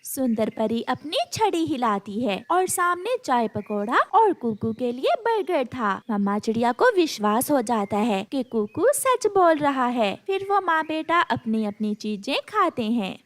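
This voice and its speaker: A woman's voice: high-pitched, very animated, measured pace, few pauses, dry recording. A woman narrating a scripted children's story.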